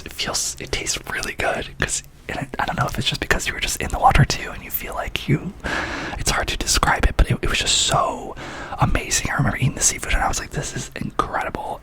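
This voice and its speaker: A young man from Massachusetts whispers close and soft through a loose, wandering ASMR ramble. His hushed voice still rises and falls a lot, and he keeps circling back with fillers and repeated words. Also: mid-range voice, gravelly. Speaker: ASMR channel host.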